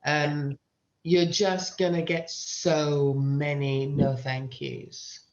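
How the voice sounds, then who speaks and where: A woman's voice: low-pitched, flat delivery, very slow, a little mellow. A middle-aged British woman who teaches writing, answering interview questions with emphatic advice and repeating key phrases for stress. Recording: dull, lo-fi sound.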